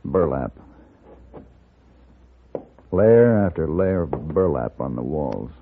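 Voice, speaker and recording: An actor playing a composed, courteous gunfighter for hire in a 1950s radio western, speaking with measured, dry politeness. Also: male voice, very deep voice, big pitch swings, slight background noise, full character voice. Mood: curious, courteous. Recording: muffled old radio recording, music underneath.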